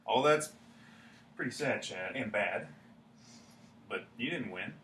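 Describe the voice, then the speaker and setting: A man's voice: mid-range voice, animated, takes it slowly, fairly bright tone. A man reading the part of a blunt, incredulous friend in a staged play, insistent and speaking in short repeated phrases. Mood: deadpan, blunt. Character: blunt, stubborn, incredulous buddy trading bad-date stories. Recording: echoey room from far off, faint noise.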